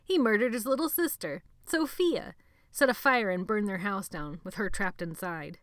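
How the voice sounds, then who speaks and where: A woman reading an audiobook, voicing a cruel, sneering boy bully who draws out his taunts slowly. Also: mid-range voice, fairly bright tone, no room sound, studio quality, pitched above the performer's own voice, natural voice. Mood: gloating shouts. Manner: slow, drawn-out taunting. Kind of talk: audiobook character voice.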